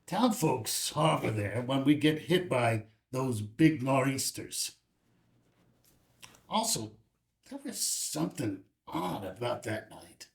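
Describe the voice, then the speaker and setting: A man's voice: mid-range voice, vocal fry, full character voice. A man playing a haunted, wistful small-town witness, brooding as he recounts an incident at a table read.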